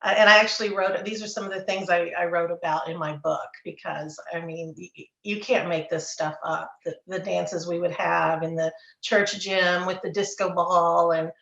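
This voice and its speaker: A woman's voice: on the low side, fairly even intonation, moderate pace, gravelly, fairly bright tone, echoey room, lo-fi sound. A middle-aged woman from Texas, an author chatting in an interview and telling stories with repeated words. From Texas, USA. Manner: storytelling, repeats words, chuckles. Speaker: author guest. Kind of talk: casual interview chat.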